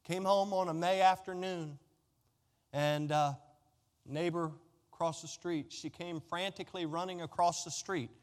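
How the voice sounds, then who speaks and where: High-pitched American male preacher. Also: steady tone, a little slow. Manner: emphatic, conversational, uses rhetorical questions and repetition. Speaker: pastor preaching. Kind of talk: sermon with storytelling to a congregation.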